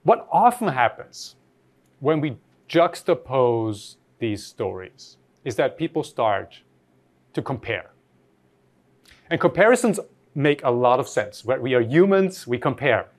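A man's voice: mid-range voice, sing-song, unhurried, long pauses. A man from Austria, giving an academic lecture in a thoughtful way, often rephrasing mid-sentence and putting questions to his audience.

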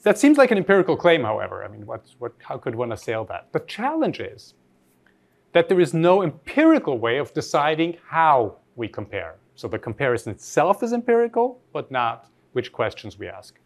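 A man's voice: medium pitch, huge pitch swings, normal speed, gravelly. A man from Austria, giving an academic lecture in a thoughtful way, often rephrasing mid-sentence and putting questions to his audience.

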